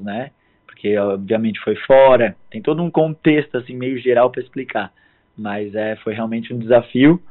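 A hoarse man in his thirties from southern Brazil, a guest musician speaking politely and hesitantly in a phone interview. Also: medium pitch. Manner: hesitant, filler-heavy, polite. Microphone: phone line. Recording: tinny phone line.

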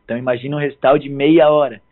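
A hoarse man in his thirties from southern Brazil, a guest musician speaking politely and hesitantly in a phone interview. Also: mid-range voice, fairly even intonation, rapid-fire. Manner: hesitant, filler-heavy, polite. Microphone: phone line. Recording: tinny phone line.

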